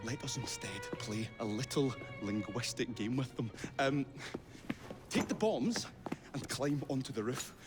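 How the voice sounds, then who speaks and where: An actor as a fussy absent-minded inventor, music behind. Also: male voice, medium pitch, expressive, unhurried, natural voice. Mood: fussy, flustered. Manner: hesitant, fussy, formal wordy phrasing. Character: absent-minded, kindly male inventor, grateful and courteous. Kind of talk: video game cutscene acting.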